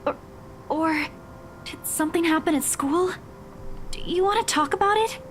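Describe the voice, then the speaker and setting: A high-pitched young woman for a visual novel game. She sounds concerned and hesitant, apologetic in her delivery, with slight noise in the recording. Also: normal speed.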